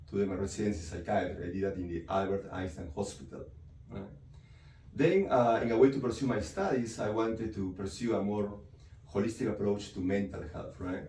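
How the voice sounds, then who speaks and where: Brief: male doctor, deep mellow voice, recorded far off in an echoey room with faint hiss. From Peru. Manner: explanatory, frequent "right?" and "you know" tags. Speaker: psychiatrist. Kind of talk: interview answers. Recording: echoey room, mic from a distance, faint noise.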